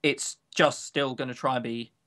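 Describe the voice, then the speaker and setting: A man's voice: medium pitch, steady tone, normal speed, sharp tone. A man co-hosting a podcast in casual, opinionated chat, grumbling, sarcastic and given to swearing. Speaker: podcast co-host.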